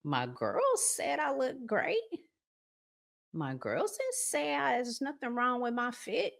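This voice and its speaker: A woman's voice: on the high side, sing-song, slow, gravelly. An American woman hosting a relationship advice channel, talking casually and emphatically in colloquial language and drawing out her key words. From United States. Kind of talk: casual advice talk with acted-out examples.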